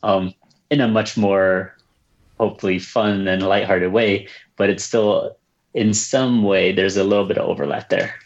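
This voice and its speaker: A reserved, soft-spoken man from the United States, answering questions about his books in a casual interview with many fillers and restarts. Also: lowish voice, steady tone, measured pace, highs cut off. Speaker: children's book author, guest.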